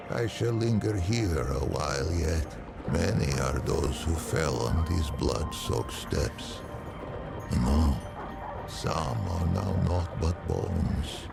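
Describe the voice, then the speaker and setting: Very deep, gravelly hoofed beast warrior. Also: male voice, heavily acted. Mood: mournful, solemn. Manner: solemn, archaic and grandiloquent speech. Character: weary, grateful hoofed beast warrior, freed from long captivity. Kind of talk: theatrical fantasy game acting. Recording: loud background music.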